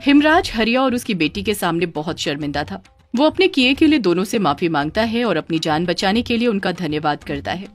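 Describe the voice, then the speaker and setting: Woman narrator, children's story, lively intonation, medium pitch, faint music. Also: brisk.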